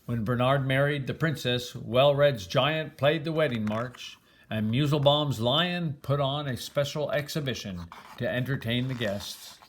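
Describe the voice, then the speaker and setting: A man's voice: medium pitch, unhurried. An older man reading a children's storybook aloud as a grandfather would, with expression.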